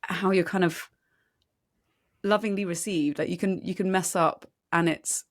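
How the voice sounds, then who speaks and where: A woman's voice: lowish voice, steady tone, a little slow. A woman hosting a podcast, talking in a chatty way with rambling asides and enthusiasm. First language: French. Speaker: podcast host. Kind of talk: conversational interview. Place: Barcelona.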